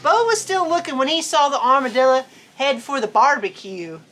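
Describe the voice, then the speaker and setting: A woman's voice: high-pitched, lively intonation, normal speed, a bit bright. A woman reading a storybook aloud in a folksy, playful way, with chatty asides.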